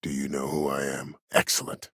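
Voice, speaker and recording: Imperious, calculating older male commander for a video game: extremely deep, rough-edged, coldly measured and formal. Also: monotone, bright, forceful tone, dry recording, studio quality, full character voice. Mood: composed, persuasive. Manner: measured, formal, deliberate, menacing. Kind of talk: video game character dialogue.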